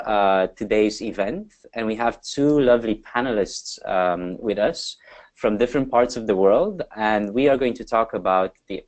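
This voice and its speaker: A man moderating an online webinar panel, upbeat and filler-heavy, speaking over a video call. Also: mid-range voice. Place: Amsterdam.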